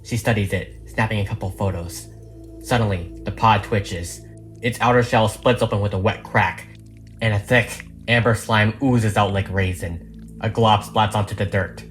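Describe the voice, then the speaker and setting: A narrator with a mid-pitched voice reads an audio drama script in a dramatic storytelling style. Music plays behind the narration. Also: steady tone, normal speed. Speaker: narrator reading all parts of a fan audio drama. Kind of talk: dramatic script reading narration with stage directions. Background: background music.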